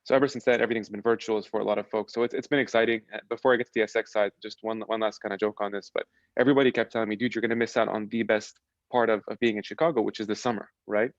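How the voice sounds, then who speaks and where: A young man talks fast and fairly flat in a low voice, chatty and relaxed, restarting his sentences often. The dry, dull sound of a video call carries him. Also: dry recording. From Lebanon. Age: young adult. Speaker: podcast guest. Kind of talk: casual chat.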